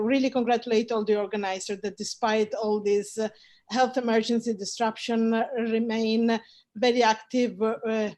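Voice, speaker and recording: A woman's voice: fairly high pitch, darkish tone. A woman opening a formal presentation, earnest, with filler sounds between phrases. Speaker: speaker.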